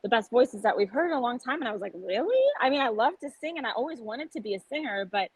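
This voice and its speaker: A woman's voice: a little high, lively intonation, fast, slightly rough, poor audio. A young woman from San Antonio, a country singer-songwriter, talking openly and earnestly in a casual podcast interview. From San Antonio, Texas. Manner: open, earnest, talkative. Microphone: podcast microphone.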